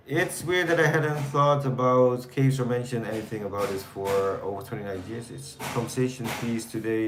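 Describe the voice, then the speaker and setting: A man from the Netherlands with a Dutch accent, chatting casually with viewers on a livestream in a relaxed, rambling way while reading their comments. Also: fairly low pitch, roomy sound, low-quality recording. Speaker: livestream host. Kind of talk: casual live chat answering viewers.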